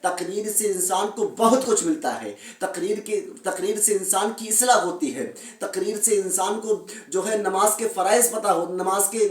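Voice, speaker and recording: Echoey, higher-pitched man, earnest. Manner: earnest, repeats phrases for emphasis. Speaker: Islamic religious commentator addressing viewers. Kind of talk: informal monologue of religious commentary and admonishment. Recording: echoey room, distant microphone.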